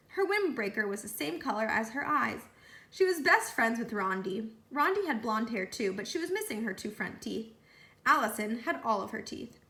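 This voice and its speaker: A woman reading a children's book aloud as a storyteller, with animated delivery. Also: fairly high pitch. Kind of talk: read-aloud storytelling narration. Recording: roomy echo.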